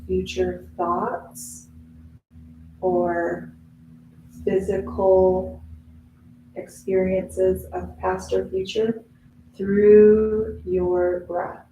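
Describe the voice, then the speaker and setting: A woman yoga teacher guides a meditation in a slow, soothing voice. Her tone is mellow and soft-edged, with long pauses between instructions. She is heard in a very echoey room. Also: medium pitch, a little hiss or hum. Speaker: yoga instructor leading a class.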